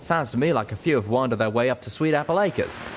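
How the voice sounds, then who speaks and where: A man's voice: medium pitch, expressive, fast, very noisy, pitched above the performer's own voice, close to an everyday voice. A man voicing a folksy male cowboy pegasus with a drawl in a story reading. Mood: matter-of-fact.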